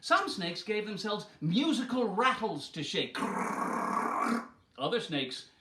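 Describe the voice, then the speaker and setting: A man telling an animal folktale in a theatrical, deliberate, dramatic way. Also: high-pitched, very animated, reverberant, very noisy. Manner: dramatic, deliberate delivery with acted voices. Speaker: storyteller.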